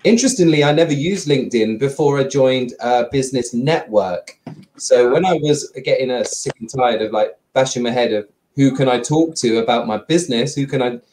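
A man in his forties, a guest on a video call from his living room, answering in a relaxed, rambling way. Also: mid-range voice, slight background noise, poor audio. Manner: relaxed, rambling, quick to laugh. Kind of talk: casual interview answers.

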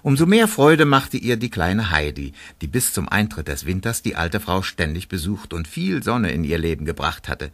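Storybook narrator, man, lowish voice, highly expressive melody, scripted children's drama. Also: moderate pace, hardly any pauses.

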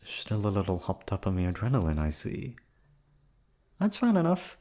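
A man voicing a menacing yandere captor in an ASMR roleplay, with a put-on accent and a calm, coldly threatening delivery. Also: lowish voice, normal speed. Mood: cold menace. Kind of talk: ASMR roleplay audio drama.

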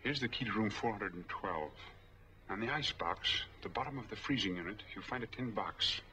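A man's voice: lowish voice, animated, brisk, bright, forceful tone. An actor playing a tense, guarded man on the run in a 1940s film noir, speaking tersely and in a businesslike way. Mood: brisk, decisive. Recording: muffled, noisy 1940s film soundtrack.

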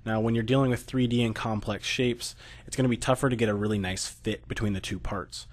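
A man's voice: fairly low pitch, steady tone, brisk. An American man narrating a technical slideshow, explaining steadily with occasional fillers. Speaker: product presenter.

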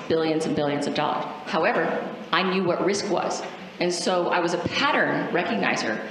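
A woman's voice: lowish voice, a bit bright. A middle-aged woman from Cuba, a native Spanish speaker, telling a personal story emphatically to an auditorium audience through a handheld mic. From Cuba, moved to the United States. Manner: emphatic, rhetorical questions to listeners. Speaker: panel speaker. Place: large auditorium stage. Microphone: handheld microphone. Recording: very echoey hall, faint noise.

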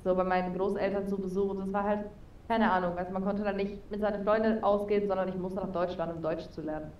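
A woman's voice: mid-range voice, fairly even intonation, quick pace, a little mellow, roomy sound, recorded from a distance, a little hiss or hum. A young woman chatting as an interview guest over a video call with a headset, talking casually with lots of fillers. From Spain. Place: home room with neon sign, indoors.

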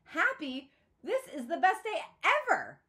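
A woman voicing an excitable, hot-tempered young girl in a storybook read-aloud, squealing and emphatic. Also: very high-pitched, unhurried, voice pushed up, exaggerated voice. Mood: thrilled, excited.

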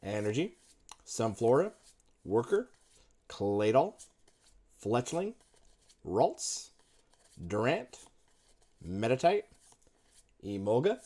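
A man in his thirties talks slowly over a desk mic at home, his pitch jumping and dipping a lot as he reads off one card after another. Wrapper crinkles rustle behind him. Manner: lists card names in a running murmur, brief excited outbursts. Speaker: card-opening video host. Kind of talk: casual unboxing commentary.

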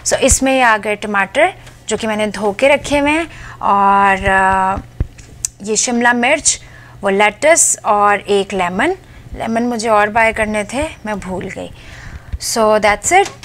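A woman's voice: mid-range voice, slow pace, a bit bright, a little hiss or hum. A woman hosting a home cooking vlog, chatting casually as she shows how she organizes her fridge, slipping English words into her speech. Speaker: cooking channel host. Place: home kitchen.

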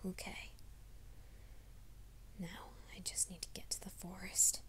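A woman's voice: lowish voice, level tone, slow. Woman voicing a whispering young werewolf man. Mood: whispered panic. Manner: mostly hushed, urgent whispering to himself. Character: young male werewolf soldier, anxious and secretive. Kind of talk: ASMR roleplay audio.

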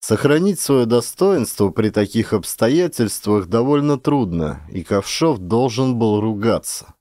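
A man narrating a literary story as an actor reading an audiobook, in an expressive storytelling manner. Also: medium pitch, unhurried, no room sound, studio quality. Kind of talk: dramatic scripted literary narration.

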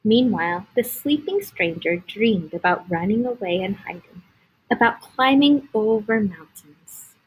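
A woman in her thirties reading a picture book aloud to young children, expressively, pausing to point out pictures with simple comments. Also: medium pitch, animated, rough recording. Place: indoor school classroom. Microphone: laptop on a video call.

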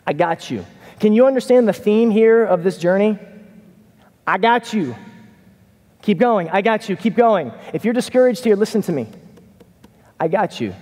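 Middle-aged pastor, casual chatty sermon, kinda high voice, echoey big church. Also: male voice, normal speed, pauses often. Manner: conversational, animated, paces the stage. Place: church stage in a large hall. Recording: reverberant hall.

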